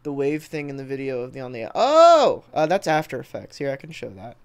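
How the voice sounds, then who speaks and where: An American man talks in a medium-pitched voice on a casual livestream. He rambles informally, drops the odd swear word and replies to viewers as he goes. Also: very animated, fairly quick, clear, resonant tone. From Texas, United States. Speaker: livestreamer and video editor. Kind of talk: casual livestream chat, reading and answering comments.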